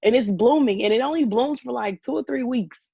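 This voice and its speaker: A woman co-hosting a casual podcast over a video call, hoarse from losing her voice and talking loudly to be heard, with frequent ums. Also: a little high, fairly quick, no echo. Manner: talks loudly to push past a weak voice, with frequent ums. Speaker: podcast co-host. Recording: dull lo-fi video call audio.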